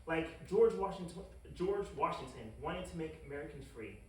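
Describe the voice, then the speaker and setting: An actor playing a bumbling, grandiose mad scientist villain in an animated comedy table read, stammering and indignant. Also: mid-range voice, moderate pace, lightly acted. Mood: self-important. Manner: flustered, stammering, self-important. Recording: echoey room, distant microphone.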